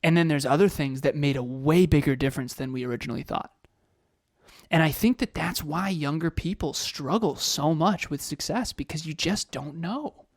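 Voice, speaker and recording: Man, 30s, podcast co-host, energetic, rhetorical questions, slightly high voice, dry close sound. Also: normal speed, no echo. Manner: energetic, fires off rhetorical questions and repeats phrases. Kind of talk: motivational podcast talk.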